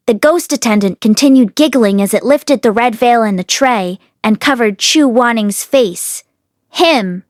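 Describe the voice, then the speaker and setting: A woman's voice: a little high, dry recording, clean studio sound. A woman narrating a novel aloud as the host of a read-along. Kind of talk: expressive story narration.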